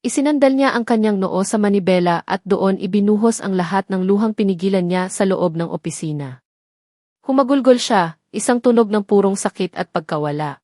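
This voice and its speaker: Woman, story narrator, mid-pitched voice, scripted dramatic reading, dry close sound. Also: a little fast, mellow, no echo.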